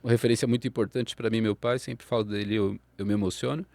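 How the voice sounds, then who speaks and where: A man in his fifties with a Brazilian Portuguese accent, an acupuncturist telling his story in a casual interview, lively and full of tag questions. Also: on the low side, fast, gravelly, dry recording. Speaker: acupuncturist and psychologist guest.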